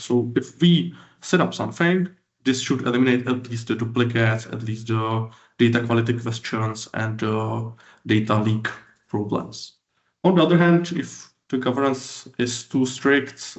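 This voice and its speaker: A man with a Czech accent gives a technical conference talk in halting, hesitant non-native English, often repeating words. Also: medium pitch. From Czech Republic. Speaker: conference speaker, BI consultant and lecturer. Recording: roomy, echoing, slightly dull sound.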